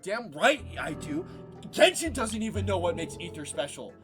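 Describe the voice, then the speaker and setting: Excitable gamer commentary, very lively pitch, ranting at the game, mid voice, loud game music behind. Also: normal speed. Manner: excitable, rants at the game, mimics laser sounds. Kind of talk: let's play commentary.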